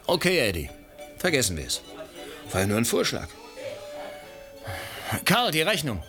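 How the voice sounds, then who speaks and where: An actor playing a cocky, ambitious newspaper reporter with a persuasive manner in a radio play. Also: male voice, mid-range voice, huge pitch swings, unhurried, music in the background. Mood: breezy. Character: glib, ambitious, debt-ridden newspaper reporter.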